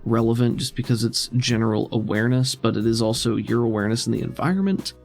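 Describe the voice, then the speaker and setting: A man's voice: mid-range voice, fast, a little mellow. A man narrating a tabletop role-playing game as game master, describing things in a conversational, improvised way. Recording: music under the voice. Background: background music.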